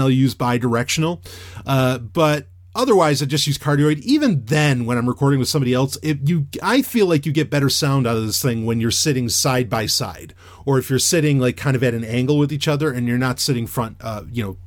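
Loud radio-trained man, dry sound. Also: mid-range voice, brisk, dry recording. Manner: chatty and animated, lots of fillers and restarts. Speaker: podcaster reviewing a microphone. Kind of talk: casual rambling product review.

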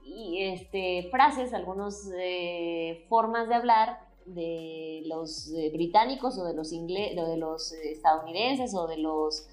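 A woman with a Mexican Spanish accent hosting a casual talk show in a playful, expressive way, calling people "corazón". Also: on the low side, slow, few pauses, faint music behind. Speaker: host.

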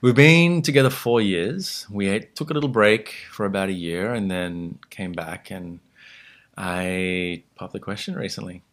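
A man with an Australian accent talking reflectively as an interview guest, pausing often as he phrases his thoughts. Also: deep voice. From Sri Lanka until age six, then Australia. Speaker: podcast guest, meditation teacher.

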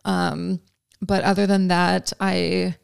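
A woman's voice: fairly low pitch, flat delivery, slow, gravelly, smooth, dry recording, clean studio sound. A young woman from Georgia with no country accent, chatting casually on a podcast with lots of ums and likes. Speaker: podcast guest. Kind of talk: casual chat.

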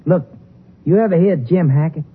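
An American actor playing an eager small-time crook in a 1950s radio drama, with slangy, uneducated, insistent speech. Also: male voice, a little high, moderate pace, slightly rough. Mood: insistent, defensive. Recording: tinny, noisy 1950s radio recording.

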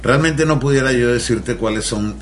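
A man's voice: medium pitch, moderate pace, slight background noise. A man from Venezuela with a Venezuelan accent, a radio guest earnestly explaining mental health. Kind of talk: radio interview.

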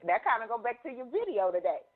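A woman's voice: on the high side, very animated, fast talker. Encouraging woman host, effusive, phone-line sound. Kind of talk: casual conference-call chat.

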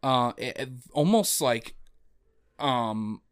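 A man co-hosting a casual podcast, rambling and excitable, with lots of fillers and restarts. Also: mid-range voice, slow pace, smooth. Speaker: podcast co-host. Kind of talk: casual chat about tabletop games. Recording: lo-fi home audio.

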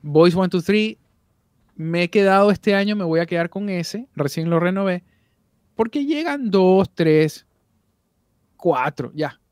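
A man's voice: high voice, lively intonation, measured pace, pauses often, darkish tone, smooth, no echo, clean studio sound. A man, a voice actor guest on a podcast, talking candidly over a video call with frequent restarts. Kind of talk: casual podcast interview.

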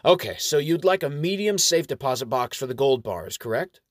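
A man's voice: medium pitch, lively intonation, moderate pace, no room sound, clean studio sound, voice pushed up, close to an everyday voice. A man voicing a suspicious male dwarf bank clerk with a blunt, probing manner in a fantasy audio story.

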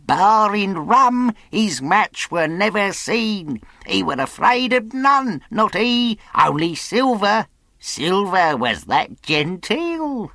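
A man's voice: sits high, no echo, pitched above the performer's own voice, full character voice. A man reading aloud, voicing a wild, half-mad old castaway who is eager and cunning and talks in rustic sailor dialect. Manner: rustic sailor dialect, pleading, drawn-out, excitable.

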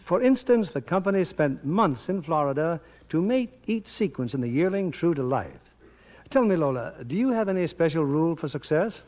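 A man's voice: fairly high pitch, very animated, normal speed, darkish tone. A man hosting a 1940s radio show, announcing and interviewing from a script. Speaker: radio host. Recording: dull old radio broadcast.

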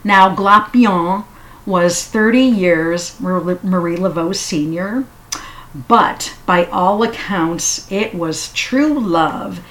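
A woman giving a casual spoken history talk, unhurried and halting with frequent fillers. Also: fairly low pitch, a bit bright, rough recording. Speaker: history storyteller.

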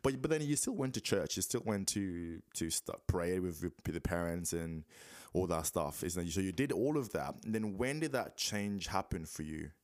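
A man's voice: sits low, animated, moderate pace, darkish tone. A man hosting a casual interview podcast, repeating his questions and encouraging his guest along. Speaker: podcast host.

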